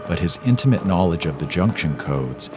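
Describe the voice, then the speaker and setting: Male narrator for sci-fi audiobook: exceptionally low register, dramatic scripted read over music. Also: very animated, normal speed. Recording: music clearly underneath.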